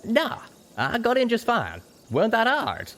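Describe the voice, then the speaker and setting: A man's voice: very high voice, huge pitch swings, a little slow, fairly bright tone, pitched above the performer's own voice, heavily acted. A man voicing a folksy, nervous male pegasus cowboy pony in an audiobook. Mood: nervous, defensive. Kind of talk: audiobook character voice.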